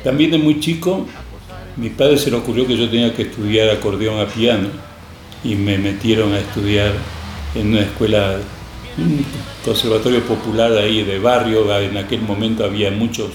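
An older man from Spain, an interview guest and record label founder, telling stories from his life in a reflective, unhurried way. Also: on the low side, reverberant. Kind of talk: storytelling interview.

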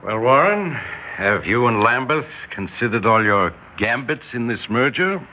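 An actor in a 1960s radio drama playing a smooth, scheming brother, speaking in a measured, insinuating way. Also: male voice, medium pitch, big pitch swings. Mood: smooth, pressing. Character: smooth, scheming brother in a family business plot. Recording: muffled, hissy 1960s radio recording.

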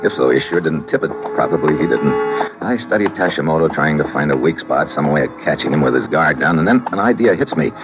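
A man's voice: very deep voice, animated, fast talker, music in the background. An actor playing a wry, hard-boiled American secret agent in a 1950s radio drama, terse and slangy, narrating his own adventure. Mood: tense narration. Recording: dull old 1950s radio recording.

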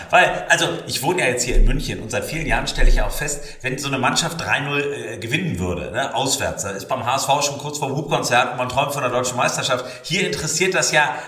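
Man, opinionated football podcaster, bright forceful voice, echoey room. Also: medium pitch, recorded from a distance. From northern Germany. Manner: opinionated, self-correcting, joking. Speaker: podcast host. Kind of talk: casual football betting chat.

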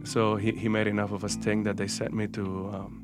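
A man, telling his own life story in a thoughtful, unhurried way full of pauses and fillers. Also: on the low side, flat delivery, music in the background. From Cuba. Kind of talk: personal first-person storytelling.

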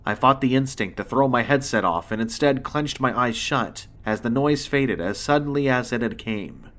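A man's voice: mid-range voice, lively intonation, normal speed, a bit bright. Man narrating a suspenseful horror story. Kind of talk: scripted first-person horror narration.

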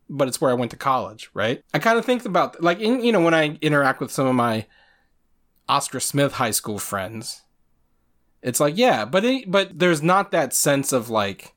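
A man's voice: medium pitch, big pitch swings, normal speed, a bit bright. A man from Virginia co-hosting a podcast, chatting casually, reflective and teasing, and laughing often. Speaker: podcast co-host. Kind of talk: casual conversation.

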